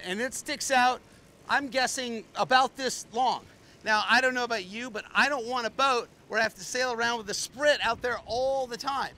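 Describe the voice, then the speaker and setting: A man's voice: high voice, pushed and bright, slight background noise. An older man hosting a casual sailboat tour, opinionated and blunt, with joking asides, recorded on deck at a marina and then in the boat's small cabin. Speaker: boat tour host. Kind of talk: casual walkthrough commentary.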